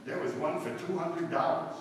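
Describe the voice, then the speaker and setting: An actor playing a chatty, homespun married police detective in a radio drama, speaking in an earnest, folksy way. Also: male voice, a little high, fairly even intonation, normal speed, close to an everyday voice. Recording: distant, echoey hall with long reverb, hiss.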